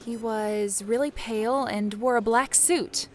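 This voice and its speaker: A woman acts a teenage girl in a dramatized fiction podcast. She is guarded and understated, speaking in a fairly high voice and giving little away. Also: steady tone, measured pace, lightly acted. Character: guarded injured teenage girl playing innocent. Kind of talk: dramatized audio fiction acting.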